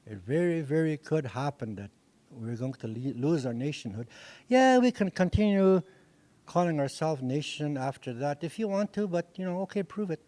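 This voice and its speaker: A man's voice: on the high side, lively intonation, normal speed, dark tone. An older man whose first language is Dakota, teaching a language class with a handheld mic in an indoor meeting room, speaking earnestly with frequent restarts and repetitions. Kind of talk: classroom lecture.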